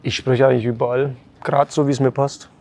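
A man in his thirties from Franconia with a Franconian accent, a guest giving short, relaxed answers and repeating words in his dialect, outdoors. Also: mid-range voice, fairly quick. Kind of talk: casual chat. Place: outdoors in a courtyard by an ivy-covered wall.

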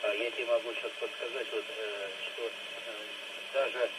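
A man's voice: mid-range voice, level tone, moderate pace. A man chatting casually as a radio operator, rambling and hesitant with many fillers. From Russia. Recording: noisy two-way FM radio, loud static.

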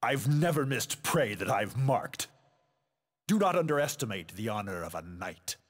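Casting: menacing, disdainful fighting-game warrior. Husky, hugely animated pitch, grand haughty delivery. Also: male voice, moderate pace, in a large hall. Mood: cold disdain. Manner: haughty, declamatory, dramatic. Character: proud, menacing adult male warrior, disdainful of weaker foes. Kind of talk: video game fighting voice clips, theatrical.

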